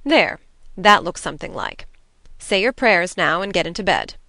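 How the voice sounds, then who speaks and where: A woman's voice: fairly low pitch, animated, normal speed, sharp tone, dry recording. A woman reading an audiobook, voicing a strict, prim older woman who scolds stiffly. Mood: stern.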